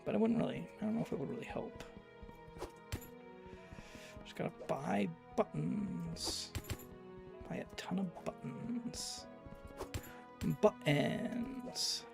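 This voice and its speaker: Gravelly Canadian man streaming a game. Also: high-pitched, slow pace, pauses often, over music. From Alberta, Canada. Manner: loose, rambling and self-deprecating. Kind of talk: live gameplay commentary while reading chat.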